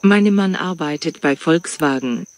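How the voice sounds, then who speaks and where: A man reading his lines in a scripted practice dialogue in a plain, steady way. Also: on the high side, a little slow.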